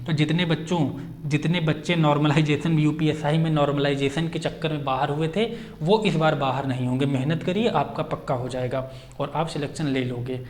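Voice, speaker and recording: A man speaking as a coaching teacher, giving an informal explanatory update and repeating key phrases for emphasis, with many English words mixed in. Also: on the high side.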